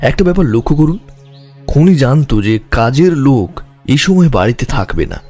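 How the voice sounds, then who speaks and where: Man acting sharp calm detective in audio drama, measured but very expressive intonation, music in back. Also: medium pitch, close to an everyday voice. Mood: analytical, grave. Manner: measured, deliberate, questioning. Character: calm, probing male detective, sharply observant.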